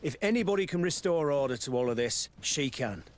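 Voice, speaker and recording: A man's voice: mid-range voice, animated, brisk, slight background noise, lightly acted. An actor playing an anxious, loyal male quartermaster in a video game, earnest and worried.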